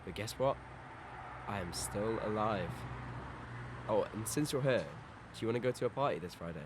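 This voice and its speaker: A man's voice: expressive, moderate pace, natural voice. A young man playing a carefree, fun-loving teenage lad who talks in a casual, matey way in an amateur audio drama. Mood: friendly. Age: young adult. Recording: noisy amateur recording, environmental rumble.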